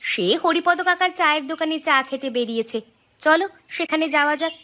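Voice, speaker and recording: High-pitched, scolding village wife acted by a woman. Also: fairly quick, pushed and bright. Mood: concerned, insistent. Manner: scolding, pointed questioning. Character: suspicious, nagging village wife. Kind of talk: cartoon dialogue acting.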